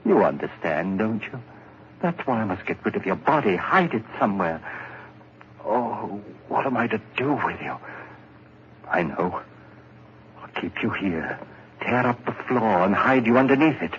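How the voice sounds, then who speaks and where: Jumpy murderer who stammers, 1940s radio play, muffled with hiss. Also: male voice, fairly low pitch, big pitch swings, slow pace, long pauses. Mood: brooding. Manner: jittery, stammering, rising to panic. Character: nervous guilt-ridden young male murderer. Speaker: radio drama lead. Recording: dull old radio recording with hiss.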